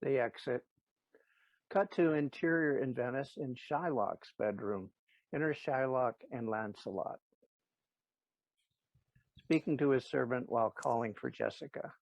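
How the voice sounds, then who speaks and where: A man's voice: mid-range voice, measured pace, gravelly, pauses often, no echo. A man narrating the stage directions of an audio play as scripted narration. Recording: dull, dry lo-fi recording.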